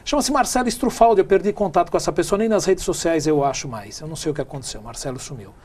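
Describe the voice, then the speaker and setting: A man's voice: on the high side, fast, a little mellow, husky. A middle-aged man with a São Paulo Brazilian accent, telling his story conversationally as an interview guest. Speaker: interview guest, tech speaker and businessman.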